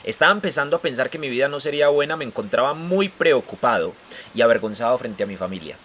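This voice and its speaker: A man talks on a podcast in a middle-pitched voice. He sounds effusive and heartfelt. A faint hiss sits under the recording. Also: expressive, fast, a bit bright. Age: 20s. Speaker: podcast guest. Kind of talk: casual podcast chat.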